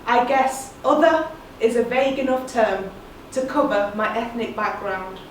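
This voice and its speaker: A woman delivers a measured, earnest spoken-word monologue in a fairly high voice. She sounds distant, in a very echoey room. A faint ambient hum sits underneath. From England.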